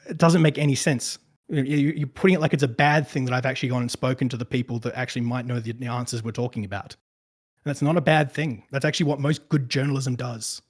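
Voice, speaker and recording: A man who works as a conflict journalist, rebutting his opponent in a debate in a dismissive tone, with frequent "you know" fillers. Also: mid-range voice.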